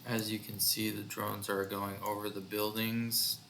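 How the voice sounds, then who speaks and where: Slow-paced man with bright, forceful voice. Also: lowish voice, flat delivery, vocal fry, a little hiss or hum. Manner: hesitant, thinking aloud. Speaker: developer presenting own project. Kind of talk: casual screen-recorded demo narration.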